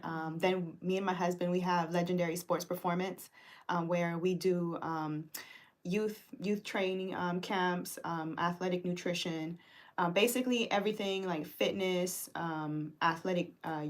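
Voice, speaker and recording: A woman in her thirties, from Cuba and raised in the Caribbean, talks easily about her life and work. Her voice sits on the low side, and she breaks into laughter often, with plenty of ums along the way. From Cuba, raised in Grand Cayman. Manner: laughs often, many fillers. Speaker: guest, business owner. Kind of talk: casual interview chat. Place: radio studio.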